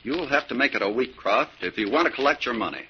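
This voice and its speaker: Scheming young heir, husky and expressive. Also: male voice, mid-range voice, fast talker. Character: suave, scheming young male heir deep in debt. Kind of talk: 1940s radio drama acting. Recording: muffled old 1940s radio recording.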